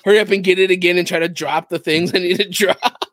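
A man's voice: high-pitched, fairly even intonation, fast talker, a bit bright, dry recording. A man who hosts a podcast, chatting casually about video games and often repeating his phrases. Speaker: podcast host.

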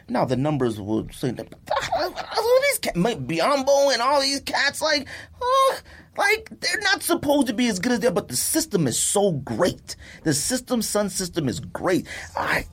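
American man, husky voice, very lively intonation, casual sports talk, emphatic, exasperated podcast host. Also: very high voice. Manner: animated, emphatic, exasperated ranting.

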